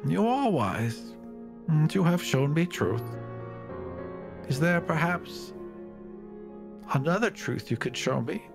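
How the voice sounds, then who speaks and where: A man voicing a weary little owl wizard in a game, soft and plaintive, asking questions in despair. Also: a little high, big pitch swings, takes it slowly, creaky, pauses often, music in the background, pitched above the performer's own voice, exaggerated voice. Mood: humbled, hopeful.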